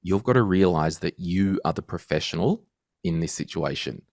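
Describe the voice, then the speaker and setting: Deep-voiced man telling stories. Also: moderate pace, no echo, studio quality. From Australia. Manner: animated storyteller, acts out quotes. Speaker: guest financial podcaster. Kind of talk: casual interview chat.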